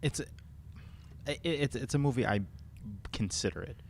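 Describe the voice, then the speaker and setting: A man co-hosting a casual podcast, speaking haltingly and repeating words as he starts. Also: mid-range voice. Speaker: podcast co-host. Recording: noticeable background room noise.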